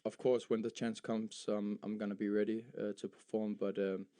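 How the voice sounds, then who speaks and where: A young Danish footballer with Danish as his first language, answering interview questions in a halting way, with many fillers and repeated words. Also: fairly low pitch, little pitch movement, dark tone. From Denmark. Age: young adult. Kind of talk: post-match style interview.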